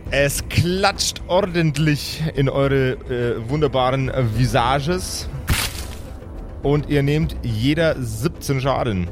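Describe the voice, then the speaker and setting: A man's voice: mid-range voice, slow pace. Male game master over prominent background music. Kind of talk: improvised tabletop game narration mixed with casual chat.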